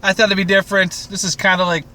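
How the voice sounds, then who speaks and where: A man's voice: high voice, level tone, quick pace, bright, forceful tone. A man co-hosting a podcast, joking and rambling through casual, sweary TV recap chat. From Canada. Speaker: podcast co-host. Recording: clear background noise.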